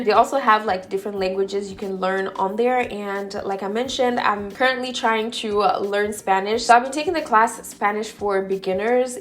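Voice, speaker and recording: Chatty young Kenyan woman vlogger. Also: medium pitch. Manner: chatty and relaxed, talking while doing her makeup. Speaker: beauty YouTuber. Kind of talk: get-ready-with-me Q&A chat.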